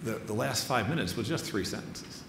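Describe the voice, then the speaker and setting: A man with a lowish voice hosts a literary conversation in an echoey, noisy venue. He speaks with emphatic admiration, repeating key words for effect. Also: animated, moderate pace. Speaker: on-stage interviewer. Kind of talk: literary conversation with quoted passages. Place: live stage event before an audience. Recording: echoey hall with clear background noise.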